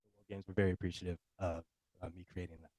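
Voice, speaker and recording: A man's voice: sits low, little pitch movement, racing, darkish tone, no room sound, low-quality recording. An American man giving a presentation as an athlete at a workshop, speaking to an audience. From United States. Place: live talk at a workshop.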